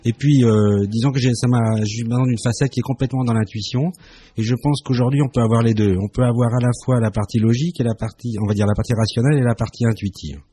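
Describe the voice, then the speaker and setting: A man's voice: medium pitch, fairly even intonation, quick pace, mellow, a little hiss or hum. A man in his fifties from France, a radio guest who speaks hesitantly and reflectively, with many fillers. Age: 50s. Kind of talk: live radio interview.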